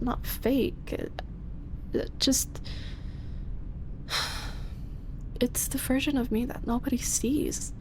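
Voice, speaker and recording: A young woman voice actress playing a flustered roommate who secretly makes ASMR videos, speaking softly and slipping into whispers. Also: on the high side, gravelly, noisy background, heavily acted. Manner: soft-spoken, drifting into whispers. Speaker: voice actress in audio roleplay. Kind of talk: ASMR roleplay.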